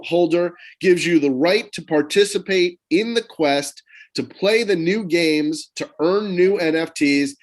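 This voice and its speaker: An upbeat man co-hosting a podcast introduces the guest in a promotional tone. His voice sits a little high for a man. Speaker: podcast co-host.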